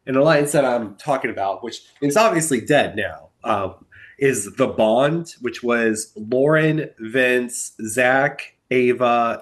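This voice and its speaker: A young man hosting a solo podcast, talking through a reality show's week in a rambling, exasperated way while stuffed up from a cold. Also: mid-range voice. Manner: rambling, animated, exasperated asides. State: congested from a cold. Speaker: podcast host. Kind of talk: solo recap commentary.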